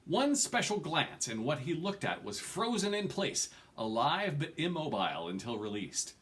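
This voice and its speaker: A man's voice: a little high, big pitch swings, moderate pace, a bit bright. A middle-aged man reading a fantasy novel aloud at home, expressively, stressing key words. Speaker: amateur book reader. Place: small furnished room at home.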